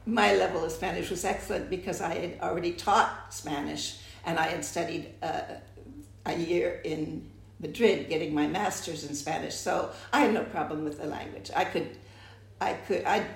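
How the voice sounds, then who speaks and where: Gravelly-voiced older American woman recalling her life. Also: on the low side, fairly even intonation, normal speed. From United States. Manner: reflective, with frequent restarts. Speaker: interviewee. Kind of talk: oral history interview. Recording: echoey room.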